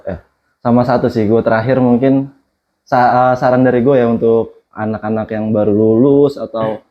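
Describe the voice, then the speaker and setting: A man hosting a casual podcast chat, breezy and slangy, laughing often and chiming in quickly. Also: mid-range voice, steady tone. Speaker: podcast host. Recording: dull, slightly muffled sound.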